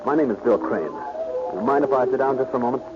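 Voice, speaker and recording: A man's voice: medium pitch, fast, over music, natural voice. An American actor playing an earnest, anxious young male attorney in a 1940s radio drama. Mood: pleading, earnest. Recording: muffled old radio recording, loud hiss.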